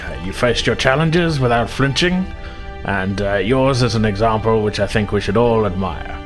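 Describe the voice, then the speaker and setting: A man voicing a gracious, formal admiral making a measured ceremonial speech in a tabletop game. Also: lowish voice, soft background music, close to an everyday voice. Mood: proud, congratulatory.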